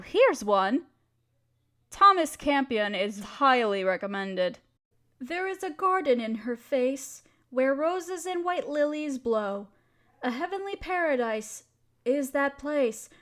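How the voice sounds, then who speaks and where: A woman playing an affectionate, gently teasing wife who shares love poems with a partner in an intimate roleplay audio. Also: high voice. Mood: affectionate amusement.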